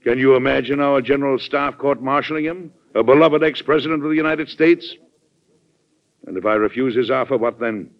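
An actor playing an earnest, courteous but weary wartime American president in an old-time radio drama. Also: male voice, mid-range voice, brisk, lightly acted. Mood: resolute. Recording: muffled old radio broadcast recording.

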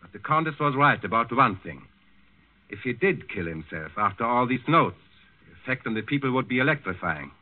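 An actor playing a commanding, suspicious Nazi governor in 1940s radio drama, curt and impatient. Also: male voice, mid-range voice, expressive, brisk, lightly acted. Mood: calculating. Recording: tinny old 1940s radio recording.

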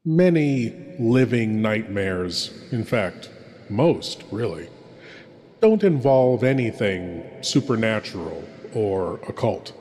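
A man hosting a horror show, delivering a theatrical scripted introduction in an ominous, deliberate, florid manner with long reverb. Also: on the low side, animated, a little mellow.

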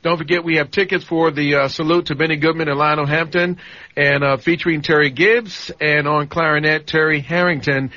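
A man hosting live radio, chatting casually and making a call-in pitch in a studio. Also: steady tone, fairly quick, pushed and bright, dry recording, lo-fi sound. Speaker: radio host.

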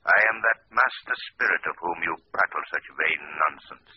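An actor playing a mysterious invisible avenger, formal, commanding and ominous, in 1940s radio style. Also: male voice, sits low, animated, normal speed, breathy edge. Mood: imperious. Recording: muffled, hissy old radio recording.

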